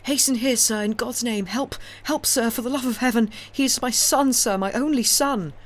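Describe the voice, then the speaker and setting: A woman's voice: fairly high pitch, normal speed, pitched above the performer's own voice, close to an everyday voice. A woman voicing a desperate old father in a storybook, pleading frantically with stammered, gasping cries. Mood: frantic pleading. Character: frantic old father begging desperately for his drowning son.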